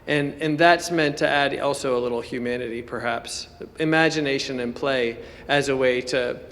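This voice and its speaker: A man's voice: medium pitch, a bit bright. A man giving an informal conference talk as a college teacher, conversational, often breaking off to rephrase himself. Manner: conversational and self-interrupting, with halting rephrasing. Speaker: college teacher presenting at a symposium. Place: indoor lecture hall at a symposium. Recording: reverberant hall sound.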